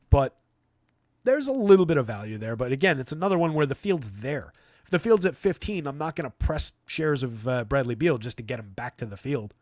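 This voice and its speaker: A man's voice: on the high side, darkish tone, no room sound. A man hosting a live fantasy basketball stream, talking through analysis off the cuff in a conversational, dry way. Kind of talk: live unscripted sports analysis with chat replies.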